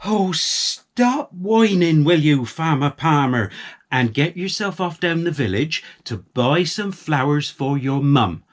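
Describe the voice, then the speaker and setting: A bossy storybook farmer's wife, performed by a man, high and swooping in pitch. She nags and gives orders, leaning slowly on her words to make each point. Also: expressive. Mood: scolding. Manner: nagging, commanding, drawn-out emphasis. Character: bossy, scolding farmer's wife, fussy about clean clothes. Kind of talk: children's storytelling.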